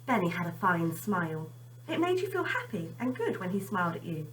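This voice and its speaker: Bright, very expressive woman teacher. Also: on the low side, fairly quick, distant mic, slight background noise, poor audio. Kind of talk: informal greeting and expressive children's story reading.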